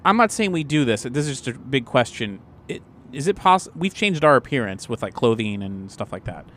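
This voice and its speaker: Male tabletop player, medium pitch, casual conversational planning, slight background noise. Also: sing-song, a little fast, a bit bright. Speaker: tabletop role-playing player. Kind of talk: casual game planning chat.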